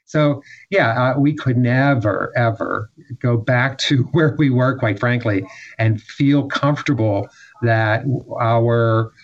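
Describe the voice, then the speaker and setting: A man from child welfare services talks slowly and hesitantly in an interview. His pace is very unhurried. The recording sounds dull and lo-fi. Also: medium pitch, slight background noise. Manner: slow, hesitant, with soft laughs.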